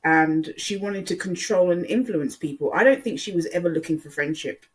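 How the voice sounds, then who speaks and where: Casual online commentator, mid-pitched, rambling and hesitant delivery with emphatic repetition. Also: moderate pace. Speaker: online video creator.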